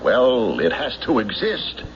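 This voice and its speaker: An actor playing a stubborn small-town county sheriff in a 1970s radio drama, speaking in an emphatic, insistent, deliberate way. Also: male voice, mid-range voice, wildly swooping pitch, background noise. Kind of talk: 1970s radio drama acting, logbook narration and dialogue. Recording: dull 1970s radio recording, music behind.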